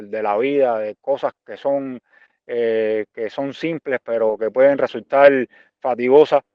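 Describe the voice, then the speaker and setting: Male interview guest, mid-forties, Cuban accent, resonant and clean-voiced, thinks aloud in halting phrases; dry, close sound. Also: mid-range voice, clear, resonant tone, no room sound, muffled recording. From Cuba. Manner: halting, searching for words with repeated phrases. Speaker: interview guest, visual artist and art teacher. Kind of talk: reflective interview answers.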